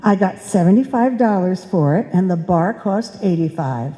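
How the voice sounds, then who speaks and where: A woman's voice: fairly low pitch, lively intonation, moderate pace, dark tone, natural voice. A woman playing a devoted, self-sacrificing wife in a live old-time radio comedy, earnest and sweetly sentimental. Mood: confessing. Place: indoor hall stage, live performance. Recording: dull sound in a reverberant hall.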